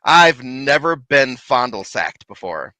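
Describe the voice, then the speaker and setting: A man telling a lively, laughing story from his paranormal investigations in casual chat. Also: mid-range voice, slow, no echo. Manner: animated, laughing, big voice.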